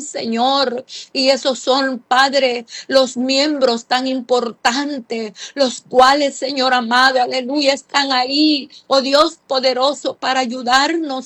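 Woman leading a fervent prayer, high-pitched, slow and drawn out. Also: no echo. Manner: fervent, repeats "Señor" between short phrases. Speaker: prayer leader.

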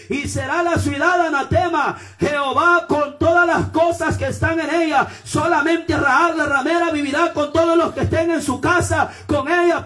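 A man delivers a fervent Pentecostal sermon in a very high, strained-up pitch. He often rises to shouts and punctuates his preaching with praise and questions to the congregation. Music plays behind him.

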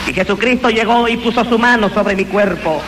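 Fervent high-voiced man testifying. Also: fairly quick, background noise. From Honduras. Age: 20s. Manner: fervent, emphatic storytelling. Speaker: speaker giving a religious testimony. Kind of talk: evangelical testimony.

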